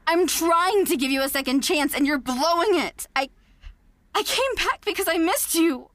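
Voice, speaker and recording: A woman's voice: very high-pitched, expressive, normal speed, a bit bright, dry recording. A woman voicing a manipulative, controlling young woman who mocks and belittles her partner. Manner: mocking, condescending, venomous. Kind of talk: audio drama acting.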